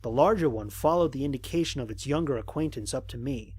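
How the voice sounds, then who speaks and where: A man playing an observant human space explorer, narrating scripted mission logs in a formal, measured, descriptive way with a slightly husky voice. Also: medium pitch, darkish tone, natural voice. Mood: curious, observant. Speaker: voice actor narrating an audio drama. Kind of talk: scripted first-person narration, mission log.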